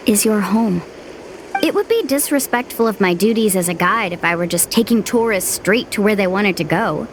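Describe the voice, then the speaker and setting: A woman's voice: fairly high pitch, noisy background, lightly acted. A woman voicing a cheerful, easygoing young tour guide in a video game, tactful but firm. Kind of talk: video game character acting. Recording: music playing behind.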